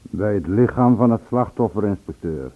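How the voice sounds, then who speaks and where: A respectful police subordinate in a radio drama. He reports deliberately in a low voice, heard through a thin, tinny old recording. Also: lively intonation. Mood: earnest, respectful. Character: deferential subordinate policeman reporting to his inspector. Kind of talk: Dutch radio play dialogue.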